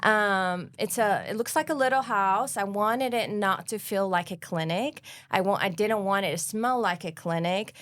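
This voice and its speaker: A woman's voice: medium pitch, fairly bright tone, no room sound. A woman from Lebanon, a family doctor and interview guest, talking earnestly with frequent ums. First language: Arabic. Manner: earnest, talks fast with many ums. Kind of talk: casual interview talk.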